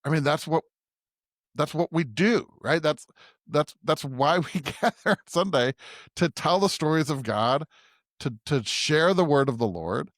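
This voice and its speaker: A man's voice: a little high, expressive, measured pace, fairly bright tone, dry recording, clean studio sound. A man co-hosting a conversational podcast on scripture, thinking aloud reflectively and often checking in with a tag question. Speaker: podcast co-host. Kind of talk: conversational Bible discussion.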